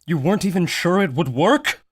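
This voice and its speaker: A man's voice: high-pitched, expressive, brisk, slightly rough, no room sound, studio quality. A man playing an anxious, earnest adventurer hero, urgent and dramatic, in an old-time radio adventure.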